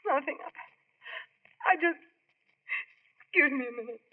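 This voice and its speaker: A sweet young fiancée in an old radio play, very high in pitch and swooping with feeling. She sounds polite and accommodating, on a thin, muffled broadcast. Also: expressive, slow. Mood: hurt, yielding. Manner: polite, hesitant, emotive. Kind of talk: 1940s radio drama acting.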